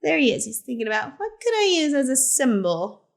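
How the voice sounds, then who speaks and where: A woman reading a picture book aloud to children as a teacher librarian, in simple, deliberate phrases with short asides. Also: sits high, big pitch swings, vocal fry. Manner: simple, deliberate phrasing addressed to young listeners.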